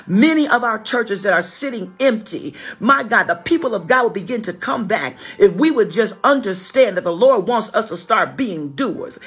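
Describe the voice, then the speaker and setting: A mature preacher with a very husky, rasping voice delivers an impassioned sermon. The delivery is emphatic, with key phrases repeated and devout exclamations thrown in. Music sounds behind the voice. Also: mid-range voice, fairly bright tone. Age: middle-aged or older. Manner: punctuates speech with repeated devout exclamations and calls to listeners. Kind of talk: fervent exhortative sermon.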